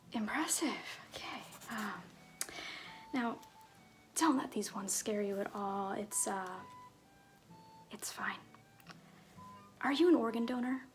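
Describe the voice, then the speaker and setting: A woman playing an easygoing, slyly flirtatious circus fool in an ASMR roleplay, talking softly and hesitantly, often trailing into whispers. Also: medium pitch. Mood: awkwardly reassuring. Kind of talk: ASMR roleplay, improvised-sounding casual talk.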